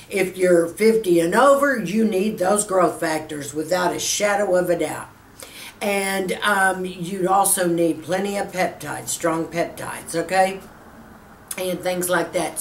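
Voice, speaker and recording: A woman's voice: on the low side, slow, far from the mic. Chatty Texas woman, roomy and off-mic. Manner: chatty, calls viewers girlfriend. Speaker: skincare vlogger. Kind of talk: casual Q&A to camera. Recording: echoey room, off-mic, faint hum.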